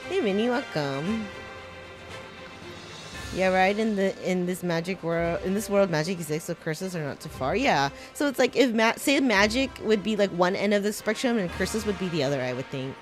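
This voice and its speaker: A woman's voice: mid-range voice, lively intonation, normal speed, music in the background. A young woman chatting casually while streaming a game, giggly and delighted. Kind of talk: casual live-stream chat.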